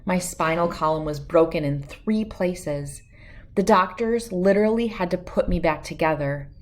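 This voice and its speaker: A woman's voice: on the low side, normal speed. A woman reading a children's picture book aloud as host, telling it expressively in the first person. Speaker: storybook read-aloud host.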